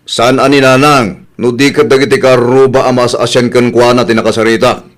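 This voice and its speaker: A man's voice: medium pitch, level tone, a little fast, crowd noise, close to an everyday voice. A man playing a stern, protective elder brother in a radio drama, scolding, with an Ilocano accent. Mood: questioning.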